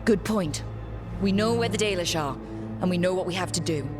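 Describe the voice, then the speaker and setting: An actress playing a resolute, steady leader of an adventuring party in a fantasy game. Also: female voice, lowish voice, brisk, music in the background, close to an everyday voice.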